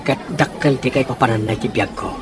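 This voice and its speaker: A man's voice: mid-range voice, fairly quick, music in the background, close to an everyday voice. A man acting as a generous, courting benefactor in a radio drama, speaking earnestly and persuasively. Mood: earnest, grateful. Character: courting, generous male benefactor of a young woman.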